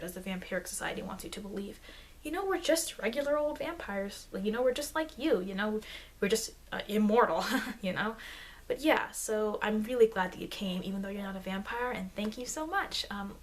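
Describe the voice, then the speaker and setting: A woman improvising a soft-spoken, rambling ASMR roleplay as a friendly, chatty vampire, recorded on an amateur microphone. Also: mid-range voice. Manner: rambling, conversational, frequent "you know". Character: friendly, chatty immortal young-looking female vampire researcher.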